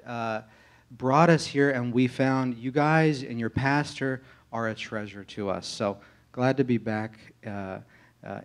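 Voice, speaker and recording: An American man speaking as a pastor candidate in an informal testimony to a congregation, earnest and halting in his delivery. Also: medium pitch. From United States, grew up in Tennessee. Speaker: pastor candidate being interviewed in church.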